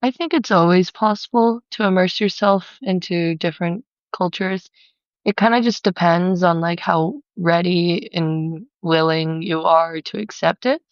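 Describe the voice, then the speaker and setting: Sex: woman. Origin: United States. Role: guest. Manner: relaxed, measured. Pitch: lowish. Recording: dull, lo-fi, dry. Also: dry recording. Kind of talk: casual chat.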